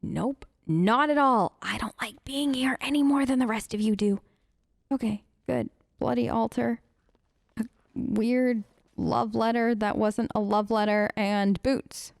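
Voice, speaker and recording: A woman hosts a game playthrough in a fairly high voice, recorded dry and close. She chats casually in role, playful and often hesitant as she reacts. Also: a little slow, creaky, no room sound. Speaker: gamer, let's play host. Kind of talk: role-play gameplay commentary, casual chat.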